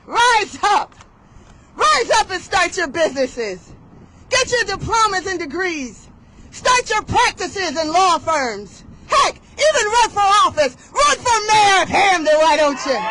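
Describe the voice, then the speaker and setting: A woman's voice: very high-pitched, pushed and bright, a little hiss or hum, low-quality recording. A woman giving an outdoor motivational rally speech, leading call-and-response with rhythmic, emphatic, rhyming delivery. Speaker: rally speaker.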